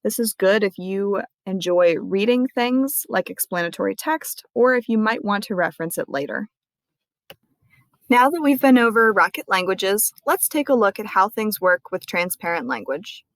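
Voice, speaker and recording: A woman's voice: medium pitch, animated, normal speed, no room sound. Dry-voiced woman librarian explaining. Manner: friendly, clear explainer. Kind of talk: screen-recorded tutorial walkthrough.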